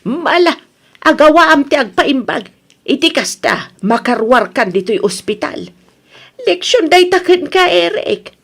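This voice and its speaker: A woman's voice: high-pitched, sing-song, measured pace, a bit bright, heavily acted. A woman playing a stern mother lecturing her grown son reproachfully in an Ilocano radio drama.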